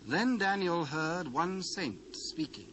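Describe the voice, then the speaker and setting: A man's voice: on the high side, lively intonation, slow, a bit bright, a little hiss or hum. A British man narrating scripture in a solemn, formal storytelling manner for a dramatised reading.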